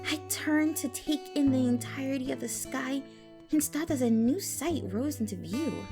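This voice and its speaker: A woman's voice: high-pitched, normal speed, darkish tone, soft background music. A woman narrating fan fiction expressively in the first person, as a storyteller.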